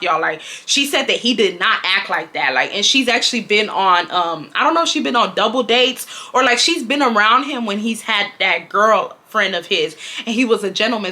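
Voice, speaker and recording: A woman tells a casual storytime. Her voice is bright and forward. She is animated, dramatic and slangy, and she acts out the shouting. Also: a little high. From Houston, Texas. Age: 20s. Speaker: vlogger.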